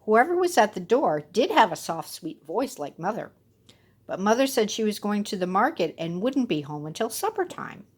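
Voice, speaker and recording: A woman's voice: medium pitch, very animated, moderate pace, breathy edge. A woman telling a children's fairy tale expressively, with dramatic and playful emphasis. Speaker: storyteller.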